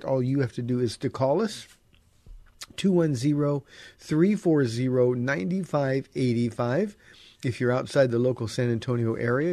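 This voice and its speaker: Mellow, dry-voiced radio pastor. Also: male voice, medium pitch, no echo. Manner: conversational, with frequent "uh" fillers and restarts. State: voice deeper than usual, under the weather. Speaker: pastor hosting a call-in radio show. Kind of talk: relaxed Bible teaching and announcements. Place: radio studio.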